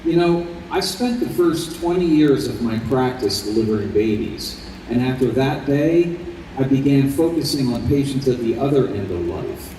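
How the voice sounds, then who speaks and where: A man playing a kindly, grave doctor in his fifties in an old time radio drama, gentle and deliberate. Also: medium pitch, animated, natural voice. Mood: reflective. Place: convention hall stage. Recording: echoey hall, distant mic, faint noise.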